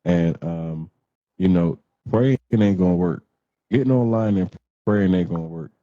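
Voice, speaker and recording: A man hosting a casual livestream, talking bluntly in slang and repeating words for emphasis. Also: a little slow. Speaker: host of a livestream show. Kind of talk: casual live talk with commentary. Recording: muffled, boomy roomy livestream audio.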